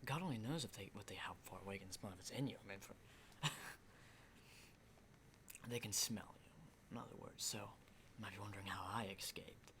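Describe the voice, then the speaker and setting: A battle-hardened survivor of the zombie apocalypse played by a man in an ASMR roleplay talks in a hushed, halting ramble peppered with swearing. The voice sits fairly low with a sharp, tense edge. A clear layer of background noise sits under it. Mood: urgent, amazed.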